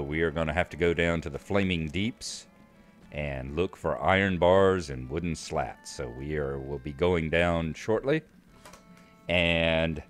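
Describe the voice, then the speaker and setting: Very deep hoarse man with a cold. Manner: relaxed, chatty, self-deprecating. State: hoarse from a cold, losing his voice. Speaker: let's play host. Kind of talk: casual gaming commentary, reading quest text aloud.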